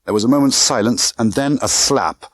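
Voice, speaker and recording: A man's voice: medium pitch, moderate pace, no echo. A man reading a thriller audiobook in a tense, theatrical manner with clipped short phrases. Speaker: audiobook narrator. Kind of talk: dramatic scripted narration of a spy thriller novel.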